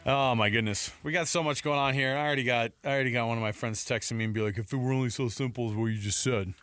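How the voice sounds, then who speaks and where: A man's voice: mid-range voice, steady tone, very fast, a bit bright, no echo, faint music behind. A man co-hosting a sports talk radio show in an emphatic, informal, opinionated way, often repeating himself, on a close studio mic. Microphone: close broadcast studio mic.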